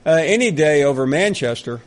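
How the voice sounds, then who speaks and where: A middle-aged man with a Southern US accent, hosting a sports talk radio show and bantering with callers. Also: on the high side. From American South. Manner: quick, animated, jokey banter with callers. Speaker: radio host.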